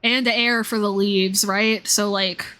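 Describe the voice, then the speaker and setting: A woman doing casual livestream commentary on a game, thinking aloud in an excitable, exclamatory way with half-finished thoughts. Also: medium pitch, slow pace. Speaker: livestream host playing a game and discussing its lore. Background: game music under the voice.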